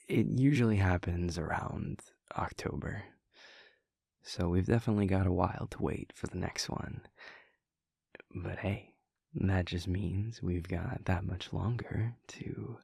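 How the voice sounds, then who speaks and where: A man playing an affectionate, playful vampire prince boyfriend in an intimate roleplay audio, speaking in a relaxed, conversational drawl with a low, creaky voice. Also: no echo, studio quality, exaggerated voice. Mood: playful, teasing. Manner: halting, chuckling, relaxed drawl.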